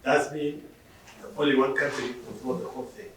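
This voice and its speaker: A man in the audience asks the speaker a halting question with a stammering start and uneven phrasing. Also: mid-range voice, steady tone, fairly bright tone. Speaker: audience member asking a question. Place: library event room. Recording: very echoey, distant, noisy room.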